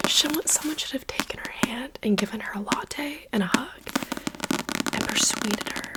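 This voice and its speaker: A woman's voice: fairly high pitch, poor audio. A young woman whispering a chatty, dramatic book review in an ASMR style.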